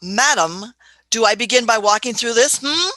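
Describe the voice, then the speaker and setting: A woman's voice: fairly high pitch, animated, normal speed, pushed and bright, slight background noise. A woman voicing an elderly female time traveler in a fan radio play, shrewd and fussy, often hesitating with "uh" and "hmm".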